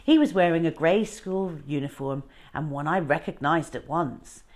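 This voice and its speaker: A woman hosting a children's story time, narrating expressively with deliberate, dramatic phrasing. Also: deep voice.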